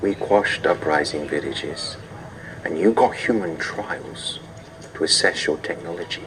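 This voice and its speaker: Cold dictatorship enforcer, extremely deep voice, slow threatening delivery, distant mic. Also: male voice, gravelly, crowd noise, full character voice. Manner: slow, deliberate, intimidating. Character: menacing male agent of a dictatorship, cold and threatening. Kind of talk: dramatic film acting.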